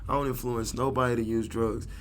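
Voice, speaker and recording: A man's voice: medium pitch, little pitch movement, brisk, a little hiss or hum. A man in his thirties from Kansas City, a rapper talking loosely and slangily as an interview guest. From Kansas City, USA. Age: 30s. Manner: laid-back, slangy, rambling. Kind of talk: casual interview chat.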